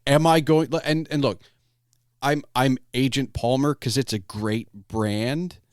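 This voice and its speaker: Male podcast host, medium pitch, conversational and halting, close dry sound. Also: fairly even intonation, no room sound. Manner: halting, repeats words as he thinks. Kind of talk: casual conversational interview.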